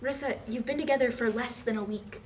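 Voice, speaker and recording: A woman's voice: on the high side, fairly quick, close to an everyday voice. A young woman acting a bashful, chatty female college student in a table read, a little hesitant and defensive. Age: young adult. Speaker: actor at a script table read. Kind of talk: casual dialogue read aloud from a script. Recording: noisy room recording.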